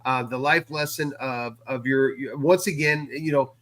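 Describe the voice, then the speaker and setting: A man's voice: mid-range voice, fairly even intonation, measured pace, fairly bright tone. A man co-hosting a casual recap chat, often repeating and restarting his phrases. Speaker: co-host.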